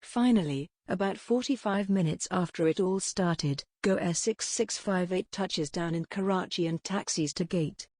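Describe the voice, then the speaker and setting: A narrator reading a script in a flat, even way. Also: mid-range voice, moderate pace, few pauses, dry recording, studio quality.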